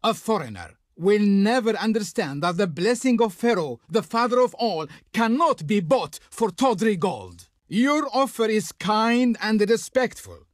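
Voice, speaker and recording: Casting: haughty ancient Egyptian court envoy in a strategy game; high voice, swooping delivery, flowery and pompous. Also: male voice, sing-song, measured pace, hardly any pauses, no echo, clean studio sound. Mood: pompous refusal. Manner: pompous, declamatory. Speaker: game voice actor playing a diplomat. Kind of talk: theatrical scripted game dialogue.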